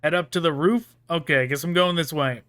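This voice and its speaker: A man's voice: a little high, a little fast, a bit bright. A man giving casual, informal let's play commentary with amused musing as he plays. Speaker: gaming streamer.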